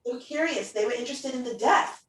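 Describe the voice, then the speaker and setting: A woman's voice: sits high, fast, fairly bright tone. A middle-aged woman chatting casually as an interview host, telling stories and acting out what people said. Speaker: interview host and preschool teacher. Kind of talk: casual conversational chat. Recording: roomy echo, distant from the mic.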